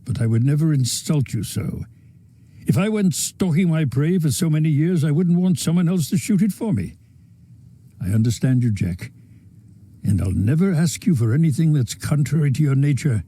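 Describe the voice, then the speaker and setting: An actor playing a smooth-talking, predatory power broker in a game cutscene, measured, courteous and insinuating. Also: male voice, fairly high pitch, expressive, dark tone. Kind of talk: video game cutscene dialogue.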